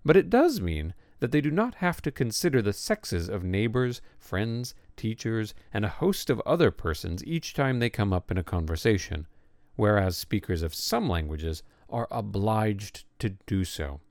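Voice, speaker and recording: A man reading an essay aloud as scripted narration, in a measured, lively way with pointed emphasis on words. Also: lowish voice, no echo.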